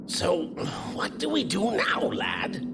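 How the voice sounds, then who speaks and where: A man's voice: high-pitched, huge pitch swings, slow, heavy background noise, full character voice. An actor playing a dry-witted, avuncular older male professor in an audio drama, with an old-fashioned way of talking. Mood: wry, uneasy. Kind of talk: dramatic character acting. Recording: loud music bed behind voice.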